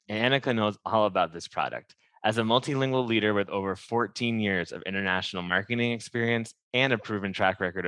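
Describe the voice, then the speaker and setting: A man with a very deep voice hosts a podcast in an upbeat, friendly way. His voice is dry and close, with no room sound. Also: a bit bright, dry recording. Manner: upbeat, friendly, scripted intro. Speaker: podcast host. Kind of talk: podcast interviewing.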